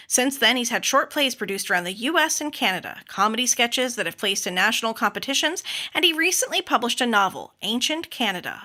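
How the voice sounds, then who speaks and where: A woman from the United States hosting a podcast, with an upbeat, animated way of talking. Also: on the high side. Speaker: podcast host. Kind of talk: scripted introduction and chatty interview.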